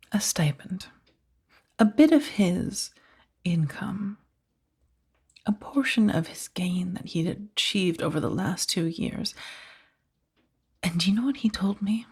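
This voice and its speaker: A woman playing a powerful, coolly amused mafia boss in a soft-spoken ASMR roleplay, unhurried and condescending, with a breathy, husky voice. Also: fairly low pitch, expressive, full character voice. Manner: unhurried, velvety menace with condescending endearments.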